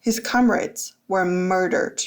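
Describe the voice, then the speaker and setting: A woman reading scripted documentary narration as a radio reporter, in a measured and factual manner. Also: lowish voice.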